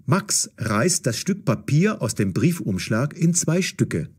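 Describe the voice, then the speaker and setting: Animated, slightly husky male narrator. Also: mid-range voice, slow pace. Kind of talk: scripted story narration.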